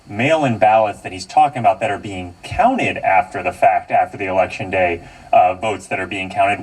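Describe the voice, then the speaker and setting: A man's voice: on the low side, husky. An American man working as a reporter, explaining the news live in a conversational style. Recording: distant car radio picked up by camera.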